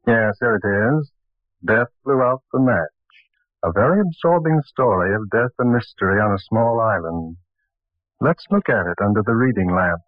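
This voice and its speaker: An actor playing a librarian narrator of 1940s radio mysteries, measured and storyteller-like. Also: male voice, on the low side, animated, no echo, natural voice. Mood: calm, intriguing. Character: atmospheric male librarian narrator introducing a mystery book. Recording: muffled old radio recording.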